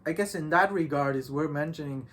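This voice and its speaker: Higher-voiced man in casual discussion. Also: a little fast, fairly bright tone. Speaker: co-host of a visual novel review show. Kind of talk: casual rambling review discussion.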